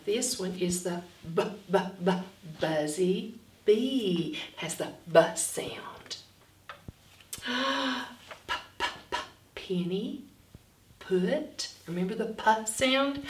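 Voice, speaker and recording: A woman reading and teaching phonics to young children in an expressive, instructional way, sounding out words. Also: on the high side, slight background noise. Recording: roomy echo, distant from mic.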